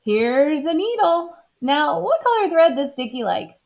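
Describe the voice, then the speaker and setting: A woman voicing a cheerful, literal-minded housekeeper in a storybook reading, bright and eager. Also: high voice, sing-song, unhurried, vocal fry, lo-fi sound, pitched above the performer's own voice, exaggerated voice.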